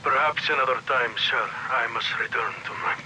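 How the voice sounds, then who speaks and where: Formal, proud man, sharply bright voice. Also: mid-range voice, steady tone, normal speed, slight background noise. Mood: coolly polite. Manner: polite, stiff, formal. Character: formal, proud, reserved male subordinate. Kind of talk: video game voice acting, audio log.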